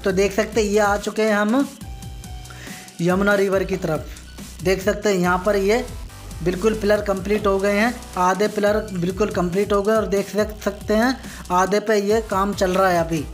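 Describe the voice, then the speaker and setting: A man's voice: sits high, flat delivery, moderate pace. A man giving an informal, repetitive on-site video commentary, explaining things step by step to viewers he addresses as friends. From northern India. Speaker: vlogger. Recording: music behind the voice. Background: background music.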